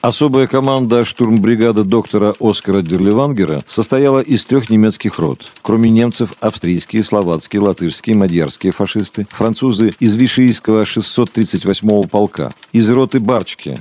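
A man reading scripted documentary narration for a radio play in a formal, measured, matter-of-fact way. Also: fairly low pitch, dark tone, a little hiss or hum.

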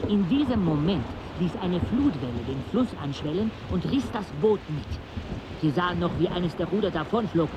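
An actor playing a travelling companion who recounts an expedition in a radio drama. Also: male voice, high voice, animated, moderate pace, noisy background. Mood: grave, narrative. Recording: storm and water sound effects behind.

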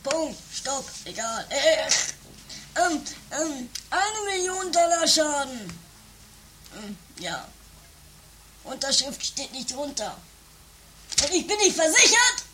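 A woman's voice: high-pitched, sing-song, takes it slowly, long pauses, pushed and bright, a little hiss or hum, heavily acted. A woman acting a hapless, dithering husband in an improvised radio comedy, hesitant and stammering. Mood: flustered.

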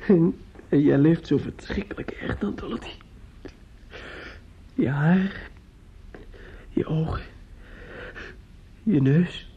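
A man's voice: a little high, takes it slowly, pauses often, husky, exaggerated voice. An actor playing a grief-stricken, restless young man in a radio play, stammering and repeating himself, often dropping to a whisper. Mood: tearful, affectionate. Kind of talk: intimate radio play acting. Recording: muffled, noisy old radio drama recording.

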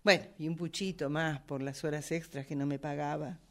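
A woman's voice: sits low, fairly even intonation, a little fast, fairly bright tone. A woman playing an indignant, hot-tempered woman in a radio drama, agitated and repeating words in bursts as she makes excuses. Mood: defensive, pleading. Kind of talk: radio drama workshop acting.

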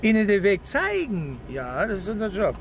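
A man's voice: high-pitched, huge pitch swings, normal speed, slight background noise, exaggerated voice. An actor playing an irritable, officious village policeman in a radio drama, curt and sarcastic in colloquial speech.

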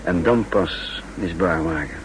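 A deep-voiced, composed captured spy. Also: male voice, measured pace. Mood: calmly explaining. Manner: calm, wry, explaining patiently. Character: cool, resourceful captured male spy narrating his story. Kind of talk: 1960s Dutch radio drama acting. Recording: noisy 1960s radio drama recording.

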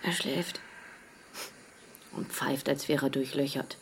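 A woman's voice: extremely low pitch, fairly even intonation, slow, a little hiss or hum, full character voice. An actress playing a tired, wry best friend in her forties in an audio drama, speaking in a dry, weary way. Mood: hungover, wry. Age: 40s.

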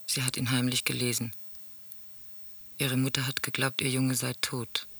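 Solemn storyteller, flat intonation, husky and tense, close dry sound, radio play recitation. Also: male voice, mid-range voice, normal speed, no echo, full character voice. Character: sombre male teller reciting grim memories and letters. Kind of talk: radio drama monologue, literary recitation.

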